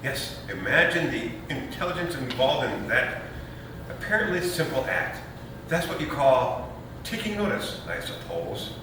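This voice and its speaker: A man's voice: mid-range voice, sing-song, a little slow. An actor playing a pompous, scheming lawyer and new father in a stage comedy reading, blustering in grand emphatic phrases. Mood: dryly sarcastic. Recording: echoey noisy hall from far off.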